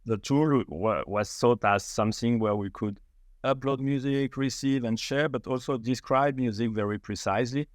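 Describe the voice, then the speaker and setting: A man's voice: mid-range voice, moderate pace, dry recording, studio quality. A middle-aged man from France with a French accent, a founder being interviewed, speaking hesitantly with many fillers and restarts. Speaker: startup founder, guest. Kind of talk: podcast interview conversation.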